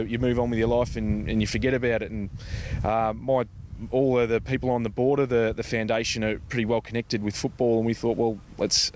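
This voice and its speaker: An Australian man giving informal interview answers as a guest, speaking in a hesitant, halting way with many pauses. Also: mid-range voice, a little hiss or hum. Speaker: interview guest, charity foundation organiser.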